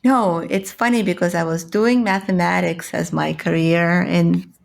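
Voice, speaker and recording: Guest: middle-aged Ukrainian-born woman, low gravelly voice, candid storyteller. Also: steady tone, a little slow. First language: Russian. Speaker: guest physician. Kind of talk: podcast interview.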